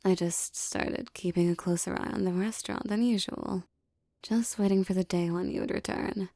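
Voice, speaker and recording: A tough young mafia boss girlfriend acted by a woman in an ASMR romance roleplay. Her voice is dark and mellow with a gravelly fry, recorded close and dry. She talks intimately, mixing slangy toughness with doting, teasing tenderness. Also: fairly low pitch, steady tone, moderate pace, no room sound, lightly acted. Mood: fond, confident.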